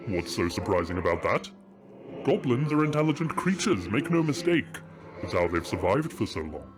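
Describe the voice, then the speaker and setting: A man playing a wise, teasing middle-aged father king in a fantasy audio drama, measured and playful. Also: lowish voice, sing-song. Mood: matter-of-fact. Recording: music under the voice.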